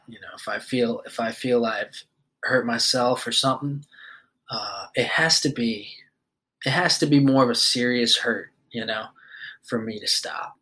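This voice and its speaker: A man's voice: mid-range voice, sharp tone, low-quality recording. Rambling, foul-mouthed young man. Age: 20s. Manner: loose and rambling, swears often, many fillers. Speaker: podcast host. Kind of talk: casual monologue.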